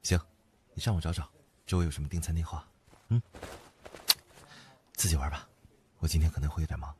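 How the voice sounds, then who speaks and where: An actor playing an indulgent, unflappable company boss and older boyfriend, speaking calmly and dotingly in an audio drama. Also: male voice, extremely low pitch, fairly quick, slight background noise. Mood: gentle. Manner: calm, gently doting. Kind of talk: audio drama acting, intimate low-key talk. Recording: background music under the voice.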